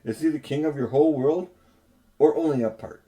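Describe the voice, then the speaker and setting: A man's voice: fairly high pitch, brisk, mellow, lightly acted. A cold, imperious queen, as an older man reading a storybook puts her on. She speaks with haughty disdain, as if every listener were beneath her. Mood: scornful. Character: haughty cruel queen, tall and commanding.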